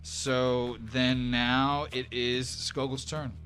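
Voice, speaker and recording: A man acts as game master for a tabletop role-playing session. His medium-pitched voice alternates between narration and rulings, with music playing behind it. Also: little pitch movement, extremely slow, fairly bright tone.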